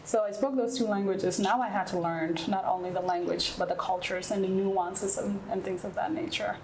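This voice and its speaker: A woman's voice: mid-range voice, steady tone, moderate pace, a little mellow, roomy sound, a little hiss or hum, poor audio. A woman from Ethiopia and South Sudan, whose first language is Nuer, answering interview questions frankly and reflectively, often checking in with "right?". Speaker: guest.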